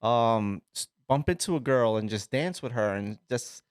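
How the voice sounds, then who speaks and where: A man, co-hosting a casual podcast and telling rambling, animated stories with a lot of swearing. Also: mid-range voice, measured pace, clear, resonant tone, no echo, studio quality. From Sicily. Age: 30s. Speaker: podcast co-host. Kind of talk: casual chat.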